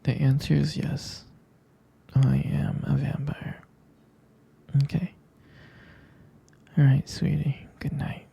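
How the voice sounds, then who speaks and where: Whispering man, slow and gravelly. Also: a little high, monotone. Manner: soft, halting, soothing whisper with murmured hums. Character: tender, reassuring half-vampire boyfriend soothing his partner to sleep. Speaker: ASMR performer. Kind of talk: ASMR boyfriend roleplay, whispered.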